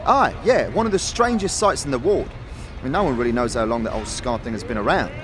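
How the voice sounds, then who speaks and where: A man's voice: medium pitch, animated, fast, natural voice. A man voicing a friendly, easygoing teenage boy smith who talks in street slang in a fantasy audio drama. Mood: friendly, chatty. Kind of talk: audio drama character acting.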